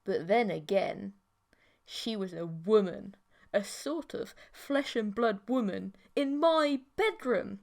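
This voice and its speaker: A woman narrating a comic first-person story as an audiobook, in a wry, expressive manner. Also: medium pitch, slow.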